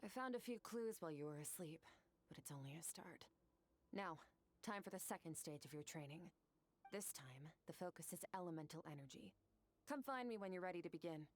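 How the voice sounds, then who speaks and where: A woman's voice: lowish voice, expressive, a little fast. A woman playing a cool, formal young warrior mentor in a video game, composed and instructive. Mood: cool, brisk.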